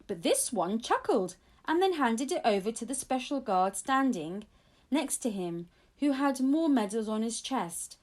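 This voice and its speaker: A woman's voice: on the high side, sing-song, measured pace. A woman reading a children's audiobook aloud, telling the story expressively in the first person. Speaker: audiobook reader.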